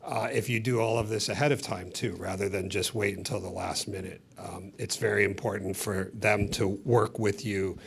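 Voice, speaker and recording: A man moderating a business seminar, with a low, gravelly voice. He talks loosely, with asides and fillers. Faint noise sits under the recording. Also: steady tone, moderate pace, few pauses. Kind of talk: introducing a speaker at a seminar.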